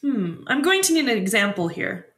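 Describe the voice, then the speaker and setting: A woman's voice: a little high, sing-song, normal speed, fairly bright tone, reverberant. An actress playing an inquisitive woman talk show host in an audio drama, prompting and probing with questions. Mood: curious, probing. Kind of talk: audio drama dialogue as a broadcast interview.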